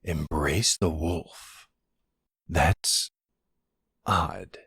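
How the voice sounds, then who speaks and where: A man playing a supportive, puzzled boyfriend in an intimate audio roleplay, speaking in a hesitant, gently questioning way with a husky voice. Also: rumbling low, fairly even intonation, extremely slow, heavily acted. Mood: puzzled, wary.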